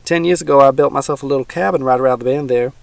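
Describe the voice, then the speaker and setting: Man performing old male swamp hermit, growling, folksy drawl, higher pitched, dry close sound. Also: racing, vocal fry, no echo, natural voice.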